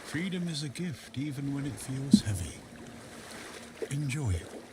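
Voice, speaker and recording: An actor playing a wistful, philosophical old hermit by the sea in a fantasy video game. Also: male voice, mid-range voice, expressive, background noise, close to an everyday voice. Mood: wistful, musing. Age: older. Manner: slow, musing, philosophical. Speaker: non-player character in a fantasy RPG. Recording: water ambience behind the voice.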